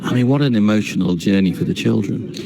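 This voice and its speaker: A man's voice: fairly low pitch, quick pace, gravelly, crowd noise. A man presenting and conducting a broadcast interview on radio, heard through shortwave reception.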